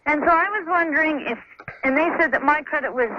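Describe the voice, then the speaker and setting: American female radio caller, high pitched, tentative and conversational, over a dull, slightly noisy telephone connection. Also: fast. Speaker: caller to radio advice show. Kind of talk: casual phone conversation. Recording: muffled noisy phone line on radio.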